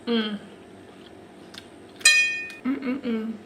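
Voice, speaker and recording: A young woman talking casually through her cooking with a slightly hoarse, croaky voice, full of "like" fillers. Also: fairly high pitch, steady tone, extremely slow, heavy background noise. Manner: chatty, lots of "like" fillers. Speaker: vlogger.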